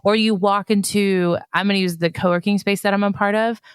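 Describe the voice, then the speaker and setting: A woman's voice: medium pitch, fairly even intonation, brisk, clear, resonant tone, no echo. A woman co-hosting a podcast, speaking in casual chat with personal examples.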